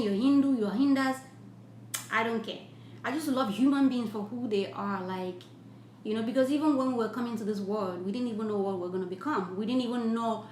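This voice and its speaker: A woman hosting a live stream, reading viewer comments aloud and talking earnestly about charity and loving people. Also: fairly high pitch. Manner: warm, effusive, blesses viewers at length; earnest, repetitive preaching tone. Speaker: livestream host. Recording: echoey room with faint ambient noise.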